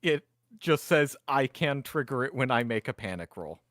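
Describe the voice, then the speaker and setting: A man plays a tabletop roleplaying game, talking casually through his character's actions. His pitch is average and the sound is dry and close. His delivery is wry and sarcastic. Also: expressive, moderate pace, a bit bright, dry recording.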